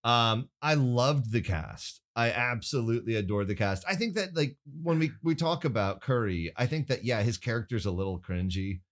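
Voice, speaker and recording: A man co-hosting a podcast, talking about a movie in an animated, giggly way. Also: medium pitch, normal speed, few pauses. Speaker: podcast co-host. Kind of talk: casual movie review chat.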